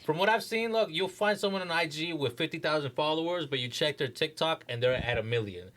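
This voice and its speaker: A man's voice: fairly high pitch, brisk, fairly bright tone. A young man talking casually and with slang on a group podcast. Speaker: podcast panelist.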